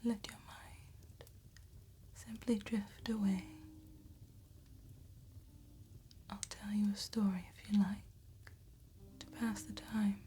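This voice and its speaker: A woman playing an ancient, calm and seductive female vampire tattooist in a soft-spoken, whispered ASMR roleplay, with measured, formal phrasing. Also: medium pitch, slight background noise, close to an everyday voice. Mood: hushed storytelling. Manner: slow, measured, formal, old-fashioned phrasing.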